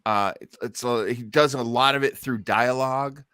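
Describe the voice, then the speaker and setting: A man's voice: mid-range voice, fairly even intonation, moderate pace, bright, forceful tone, clear, resonant tone, dry recording, clean studio sound. A man hosting an old-time radio listening show in a casual, chatty, enthusiastic way.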